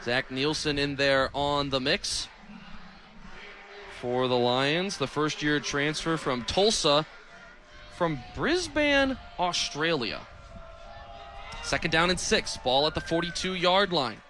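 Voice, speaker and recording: Play-by-play announcer: American male, twenties, high and sharp-edged voice, energetic live football radio delivery. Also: slow, long pauses, soft background music. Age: 20s.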